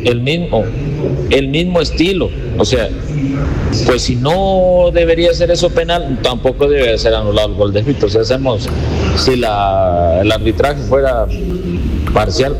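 A man's voice: medium pitch, noisy background. A man calling in to argue a football call insistently. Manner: argumentative, insistent.

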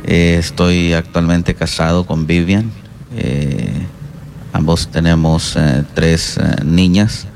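A middle-aged man with a Honduran Spanish accent, answering interview questions as a pastor in a halting, reflective way. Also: low-pitched, level tone, a little mellow, soft background music. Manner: halting, reflective, hesitant pauses. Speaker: guest pastor and counselor.

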